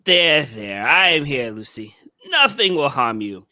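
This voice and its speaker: Man playing a bright-voiced, earnest Victorian doctor. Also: mid-range voice, very animated, unhurried, dry recording, exaggerated voice. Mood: soothing. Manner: formal, earnest. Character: earnest, proper Victorian male doctor, soothing and devoted.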